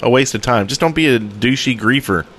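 A man co-hosting a podcast, chatting casually in a playful, excitable, mock-dramatic way. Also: medium pitch, fairly quick. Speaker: podcast co-host. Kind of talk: casual joking podcast chat.

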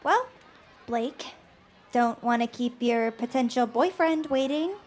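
A woman's voice: a little high, slow, darkish tone. An actress playing a sardonic, bossy girl in an audio drama. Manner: teasing, curt.

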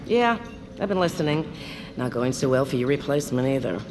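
A weary, gruff little creature who bosses a crew underground in a comic audio drama. The delivery is folksy and blustery, very animated in pitch, over clear background ambience. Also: female voice, brisk, background noise. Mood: rousing. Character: gruff, weary underground peepkin boss, small fantasy creature.

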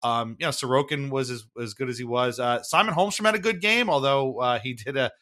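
A male sports podcast host with a bright, forward voice at an ordinary pitch. He recaps games casually, in a conversational and slightly wry way, often qualifying what he says. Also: fairly quick. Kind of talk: casual hockey game recap chat.

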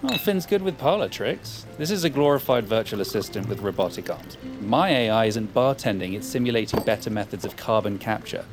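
Smug futurist, dry and dismissive, lively pitch, faint music. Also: male voice, brisk, hardly any pauses. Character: smug rival tech futurist man. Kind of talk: audio drama acting.

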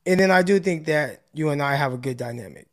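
A man with a raspy, somewhat high voice co-hosts a sports podcast. He speaks in a casual, conversational way. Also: fast.

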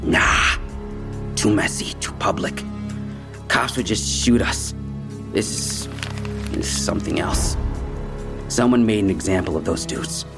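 A man's voice: sits low, a little slow, creaky, pauses often. An actor in an audio drama playing a defensive young male street robber, talking in clipped slang. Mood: nervous, defensive. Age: young adult. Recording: music bed behind the voice.

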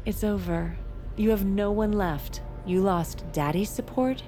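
An actress playing a poised, cutting president's daughter in an audio drama, sugary and condescending. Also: female voice, medium pitch, unhurried, music in the background, close to an everyday voice. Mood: cool triumph.

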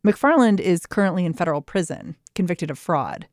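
A woman hosting a news podcast, narrating a scripted segment in a brisk, clear storytelling manner. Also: on the low side, expressive, dry recording, studio quality. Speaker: podcast host.

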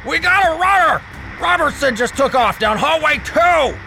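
Exasperated male lackey, crude grumbler, high-pitched with gravelly edge, suspense audio drama. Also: lively intonation, normal speed, music in the background, low-quality recording. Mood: shouting, urgent. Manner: sighing, grumbling, foul-mouthed.

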